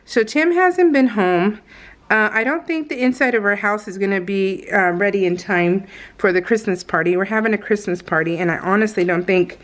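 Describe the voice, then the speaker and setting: A woman's voice: mid-range voice, normal speed. A woman craft vlogger chatting casually in a giggly, meandering way as she goes through her scrapbook pages. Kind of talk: casual rambling chat over scrapbook pages.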